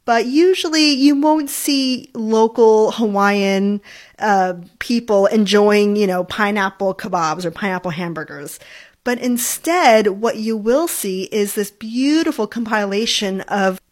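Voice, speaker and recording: A woman's voice: medium pitch, unhurried. A young adult woman from New York, hosting a food podcast in a friendly, chatty way and talking with enthusiasm about food. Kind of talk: casual solo podcast talk.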